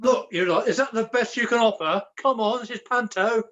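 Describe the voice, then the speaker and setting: A woman's voice: fairly high pitch, fairly quick, a bit bright, lightly acted. A woman playing a hapless, earnest young jester in a pantomime, theatrical and sheepish, on a video call. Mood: teasing. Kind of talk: pantomime acting. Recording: dull lo-fi video-call recording.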